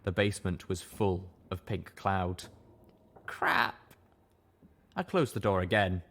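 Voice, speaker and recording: A man's voice: deep voice, fairly even intonation, moderate pace. A man reading a story aloud as first-person narration.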